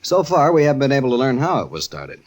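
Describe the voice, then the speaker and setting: An actor playing a measured insurance investigator, questioning formally and deliberately in a radio suspense style. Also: male voice, medium pitch, big pitch swings. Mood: polite, probing.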